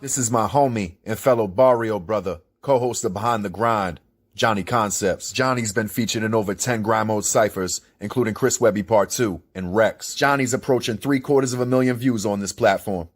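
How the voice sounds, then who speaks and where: A man's voice: fairly low pitch, normal speed. A man hosting, reading a scripted promotional intro. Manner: announcing, promotional. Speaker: host.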